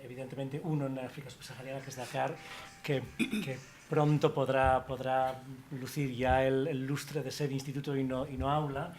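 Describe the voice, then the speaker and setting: A man's voice: medium pitch, flat delivery, moderate pace, a little mellow, slight background noise. A middle-aged man, academic director of an institute, speaking on a panel, rambling with many restarts. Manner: rambling, many restarts and fillers, informal asides. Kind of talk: panel talk at a colloquium.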